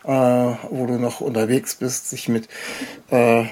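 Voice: mid-range voice, level tone, slow, dark tone. A podcast host chatting casually in an interview, enthusiastic and rambling, often hesitating mid-sentence.

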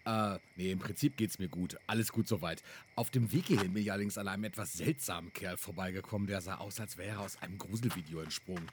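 A man's voice: deep voice, quick pace, noisy background. A man who co-hosts a podcast, chatting casually as himself in an audio play. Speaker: podcast co-host. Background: faint music.

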